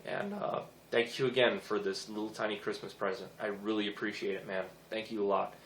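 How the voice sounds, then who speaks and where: A hoarse young man rambling casually with many fillers as he reviews his toy figures. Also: on the low side, fairly even intonation, a little fast, roomy sound, a little hiss or hum, low-quality recording.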